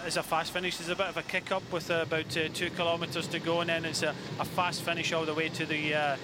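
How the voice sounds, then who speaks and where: A man with a fairly high voice analyses a bike race live as co-commentator. He explains things casually and haltingly, hesitating often, over a loud bed of vehicle and outdoor noise. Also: completely flat delivery.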